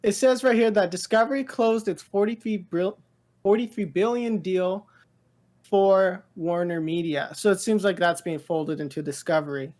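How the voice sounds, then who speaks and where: A woman's voice: medium pitch, a little mellow. Rambling woman in casual podcast chat. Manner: rambling, halting, thinking aloud. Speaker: podcast co-host. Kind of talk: casual pop culture news chat.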